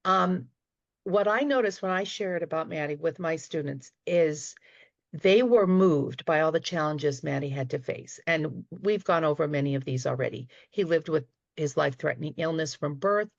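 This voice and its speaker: A woman's voice: low voice, moderate pace, gravelly, no room sound, lo-fi sound. A woman with a Boston accent giving earnest, fond remarks as a guild director on a video call.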